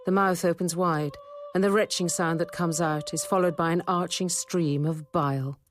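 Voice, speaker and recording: Actress as a low-voiced narrating nun, music behind. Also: female voice, fairly even intonation, close to an everyday voice. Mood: tense, observant. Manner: measured, intimate. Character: watchful, compassionate middle-aged nun telling her story. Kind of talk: scripted first-person radio drama narration.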